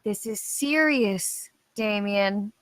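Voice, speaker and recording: A woman playing a steady, worried police officer and loyal friend in a screenplay table read. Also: mid-range voice, takes it slowly, clean tone, dry recording, natural voice. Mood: earnest, firm.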